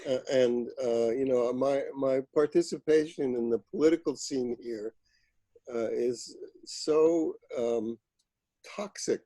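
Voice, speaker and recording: Hesitant man with a dark, dry voice; casual video-call chat. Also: medium pitch, no echo. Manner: hesitant, halting, wry. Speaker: novelist.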